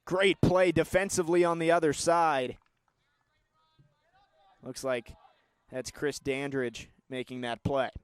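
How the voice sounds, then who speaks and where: A young man with a slightly high voice delivers live sports commentary in a close, dry sound. He describes play in a measured way and lifts into excited calls when the action builds. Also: smooth, no room sound. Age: young adult.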